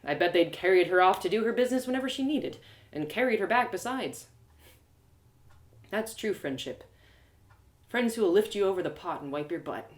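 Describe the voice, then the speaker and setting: A woman reading a first-person fantasy story live, in an expressive, confiding, dramatized narration. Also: medium pitch, moderate pace, pauses often. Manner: expressive, confiding, acted-out delivery. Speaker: storyteller and audio drama performer.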